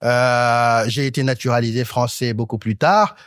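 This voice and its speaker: A man in his thirties from Senegal, speaking as a talk show panelist in an articulate, academic way, often pausing on hesitant fillers. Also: medium pitch, steady tone, fairly bright tone, clean tone, dry recording, clean studio sound. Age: 30s. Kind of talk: panel discussion.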